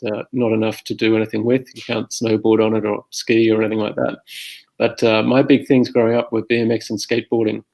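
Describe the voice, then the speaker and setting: A middle-aged Australian man with a low, gravelly voice hosts an informal conversation. His intonation stays very flat and he rambles along in an easy, unscripted way, as a podcast host introducing things. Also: low-quality recording. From Armidale, New South Wales, Australia.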